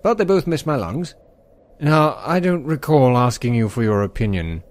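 A man playing a gleefully sadistic pony airship commander in an audio drama, theatrical and full of drawn-out, mocking politeness. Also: medium pitch, expressive, a little mellow, natural voice. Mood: cold, irritated.

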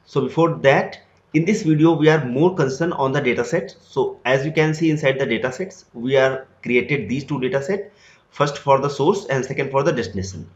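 A man talking through a screen-recorded software tutorial step by step, starting many sentences with "so". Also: mid-range voice, moderate pace. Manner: step-by-step explanatory, repeats "so" often. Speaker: tutorial instructor. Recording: roomy, dull lo-fi sound.

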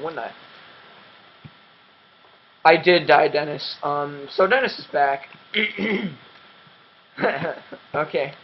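A man's voice: fairly high pitch, muffled recording, a little hiss or hum. Rambling young man with a cracking voice. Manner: rambling, self-mocking, many ums and restarts. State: voice cracking and dry. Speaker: gaming channel host. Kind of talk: casual game commentary.

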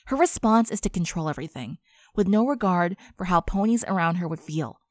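A woman voicing a concerned, firm but caring young friend in a measured, earnest way for a fan fiction drama. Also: lowish voice, expressive, no echo, clean studio sound, close to an everyday voice.

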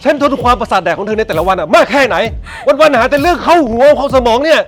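A man's voice: very high voice, lively intonation, soft background music. An actor playing an exasperated husband who scolds his wife in a rush before leaving for work. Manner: fast, impatient scolding. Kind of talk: Thai melodrama acting.

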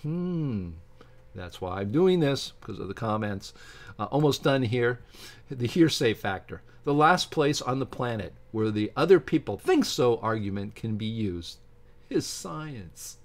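A man's voice: mid-range voice, expressive. Opinionated middle-aged man commenting. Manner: opinionated, halting when reading, often breaking off to comment. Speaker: YouTube science channel host. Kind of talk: informal commentary while reading aloud from a book. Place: small home office with bookshelves, indoors.

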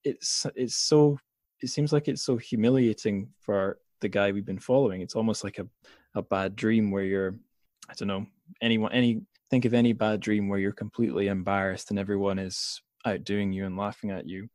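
A man with a fairly low voice hosts a casual book discussion. He rambles a little and works through his thoughts tentatively. The recording is close and very dry. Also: fairly even intonation, normal speed, darkish tone, no room sound. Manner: rambling, tentative, thinking aloud. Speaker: podcast host.

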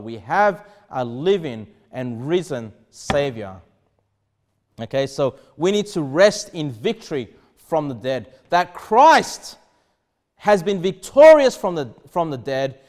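Earnest thirty-something male preacher. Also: a little high. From Australia. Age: 30s. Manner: earnest, emphatic preaching with rising bursts and soft drops. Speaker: pastor. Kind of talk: sermon. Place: small church studio behind a pulpit desk.

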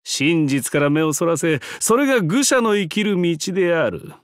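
A man's voice: on the high side, big pitch swings, no echo, clean studio sound. An actor voicing a depraved, mocking trickster giant-god held prisoner in a game, theatrical and taunting, drawing out his words. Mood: taunting, lustful. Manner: theatrical, taunting, drawn-out words, sudden swoops and cackles. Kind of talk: theatrical game character voice lines.

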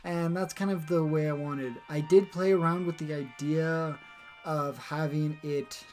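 A woman narrating over a screen recording of her digital painting, explaining her process in a relaxed, thinking-aloud way. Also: sits low, a little slow, soft background music. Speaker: digital artist.

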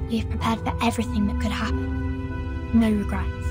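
An actress voicing a resolute young schoolgirl leader in a video game, calm, serious and measured. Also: on the high side, music in the background, close to an everyday voice. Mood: solemn. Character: composed young schoolgirl leading an escape, resolute.

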